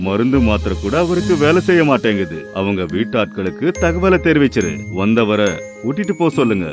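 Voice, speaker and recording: A grave cartoon doctor, played by a man, speaks bluntly at a medium pitch. Music is clearly heard behind. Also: big pitch swings, fairly quick, lightly acted. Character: grave, matter-of-fact male doctor. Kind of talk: animated moral story dialogue.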